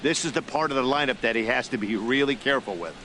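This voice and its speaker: Stadium noise sits behind a man acting as a male baseball color analyst in a sports game. The voice is bright and forward, and he talks in an easygoing, knowing, conversational way. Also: medium pitch, quick pace, close to an everyday voice. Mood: analytical.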